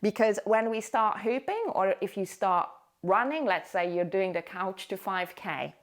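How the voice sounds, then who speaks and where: Woman, 40s, upbeat fitness coach, encouraging tone, mid-pitched voice. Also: measured pace. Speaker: hula hoop fitness instructor. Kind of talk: motivational talk to camera.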